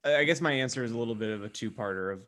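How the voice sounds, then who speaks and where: Guy co-hosting a comedy podcast, regular pitched voice, casual chat, kinda halting and thinking out loud. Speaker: podcast co-host.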